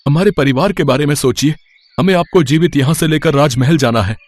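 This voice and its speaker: A man's voice: a little high, brisk, a little mellow, lightly acted. A man playing an eager, deferential royal soldier in a devotional story, speaking formally and emphatically. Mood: pleading, urgent.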